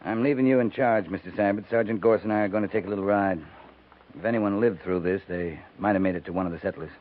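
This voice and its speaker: A man's voice: fairly low pitch, quick pace, a little hiss or hum, natural voice. Stoic cavalry captain, played by a man, tinny old radio. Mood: terse, stern. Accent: American. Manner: clipped, dry, unsentimental authority. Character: seasoned cavalry captain, stoic, terse, gravely matter-of-fact. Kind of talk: 1950s American radio western acting. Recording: tinny band-limited 1950s radio recording.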